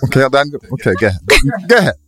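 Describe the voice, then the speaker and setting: A man's voice: medium pitch, big pitch swings, very fast, fairly bright tone. A man co-hosting a casual podcast, debating in an insistent, bantering way. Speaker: podcast co-host.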